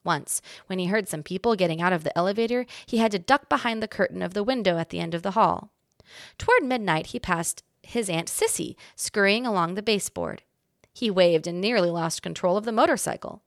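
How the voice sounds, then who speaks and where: A woman's voice: mid-range voice, fairly quick, no echo, studio quality. A woman narrating a children's storybook expressively as a guest reader.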